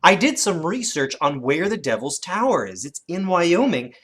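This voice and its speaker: A teacher giving an instructional lesson for children, with animated, expressive storytelling emphasis. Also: mid-range voice, normal speed, breathy edge. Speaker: elementary teacher.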